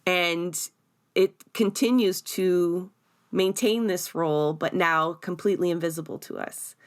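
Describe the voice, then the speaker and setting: A woman's voice: lowish voice, unhurried. A Canadian woman hosting a podcast, speaking in a conversational political discussion. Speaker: podcast host.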